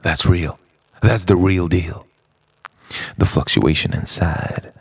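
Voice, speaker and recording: Very deep, gravelly man, slow spoken word. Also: no echo. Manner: dramatic, halting delivery in short phrases. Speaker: narrator of own written reflection. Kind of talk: introspective spoken-word monologue.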